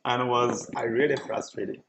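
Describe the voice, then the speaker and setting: A man's voice: medium pitch, fairly even intonation, a little slow, a bit bright, a little hiss or hum, low-quality recording. A middle-aged man from China with a Chinese accent, chatting casually about his life and laughing often. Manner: chatty, often breaks into laughter mid-sentence. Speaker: show host telling his story. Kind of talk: casual conversation.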